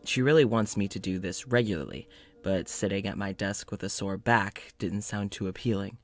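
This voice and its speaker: Man acting a tired, low-voiced doctor's audio diary. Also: normal speed, close to an everyday voice. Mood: weary. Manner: conversational, understated, hesitant, dryly wry. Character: tired, awkward, gentle male doctor keeping audio diary. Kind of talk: audio drama monologue, confiding diary.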